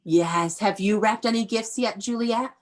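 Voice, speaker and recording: A woman's voice: medium pitch, unhurried. An American woman teaching young children in an interactive read-aloud over a video call, praising and prompting them with questions. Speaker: elementary school teacher.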